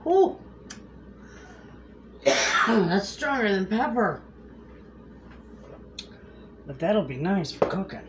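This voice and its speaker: Slow, chatty homesteader woman in her kitchen. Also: mid-range voice, sing-song. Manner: chatty, homey, laughs easily. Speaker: homestead cooking vlogger. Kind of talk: casual kitchen chat to camera. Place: home kitchen, indoors. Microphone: camera across the kitchen. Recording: noisy home kitchen audio.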